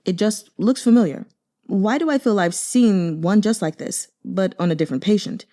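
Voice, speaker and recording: A woman's voice: mid-range voice, normal speed, mellow, lightly acted. A woman voicing a cold, condescending, money-loving male master physician in an audiobook story, curt and unruffled. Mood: thoughtful.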